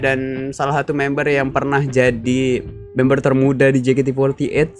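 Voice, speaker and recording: A young man hosting a casual fan-commentary video, chatty and slangy, full of fillers and tag questions. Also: on the high side. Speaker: YouTube channel host.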